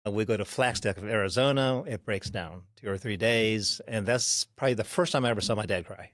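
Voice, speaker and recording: A man in his sixties, a podcast guest, recalling his childhood in an unhurried way with frequent pauses. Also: lowish voice, fairly bright tone, no room sound, clean studio sound. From Korea. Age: 60s. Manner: unhurried, pausing with ums while recalling. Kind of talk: storytelling interview.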